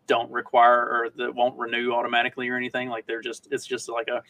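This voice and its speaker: A man's voice: medium pitch, completely flat delivery, fast talker, pushed and bright. A man from Kentucky co-hosting a podcast, talking in a rambling, colloquial, casual way with some swearing. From Kentucky, United States. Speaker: podcast co-host. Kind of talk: casual chat. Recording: distant from the mic.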